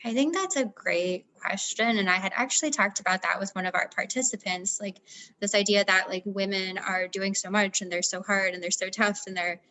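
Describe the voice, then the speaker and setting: A woman's voice: mid-range voice, moderate pace, pushed and bright, low-quality recording. A woman researcher answering questions in a casual, conversational way on a panel, often dropping "like" into her sentences. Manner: conversational, peppers speech with "like".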